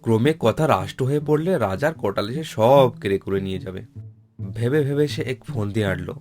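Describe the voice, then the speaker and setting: A man's voice: mid-range voice, expressive, moderate pace, a little mellow. A man reading a scripted folk tale as narrator. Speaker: storyteller, narrator. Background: plucked guitar-like music.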